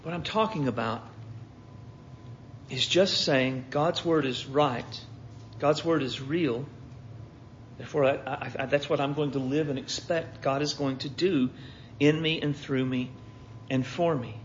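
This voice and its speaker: A man's voice: medium pitch, unhurried, long pauses, highs cut off, a little hiss or hum. An American man preaching a sermon in a conversational way, repeating phrases for emphasis. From United States.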